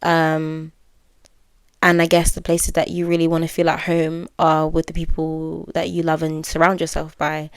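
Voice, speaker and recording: Young woman, well-spoken, low flat voice, smooth, dry close sound. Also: moderate pace, dry recording. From United Kingdom. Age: 20s. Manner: candid, musing, many fillers and self-corrections. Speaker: podcast host. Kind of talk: reflective solo podcast monologue.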